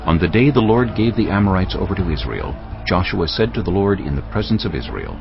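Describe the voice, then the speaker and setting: Male scripture narrator, solemn and measured, with a deep, lively-pitched delivery over soft music. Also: creaky, dull audio. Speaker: audio Bible narrator. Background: background music.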